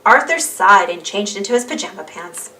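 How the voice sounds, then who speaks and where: A woman reading a children's storybook aloud in an animated narrating style. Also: medium pitch, moderate pace, distant mic. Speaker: storybook reader.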